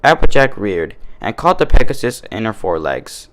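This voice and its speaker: A man narrates a story in a lively voice with plenty of pitch movement. He reads scripted prose aloud as a fan fiction reader. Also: moderate pace.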